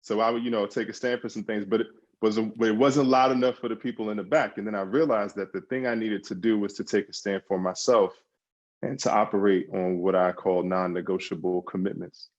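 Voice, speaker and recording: A man's voice: fairly low pitch, steady tone, brisk, a little mellow, dull audio. A man talking as a guest on a podcast, answering in emphatic bursts with repeated words and restarts. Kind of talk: conversational interview answers.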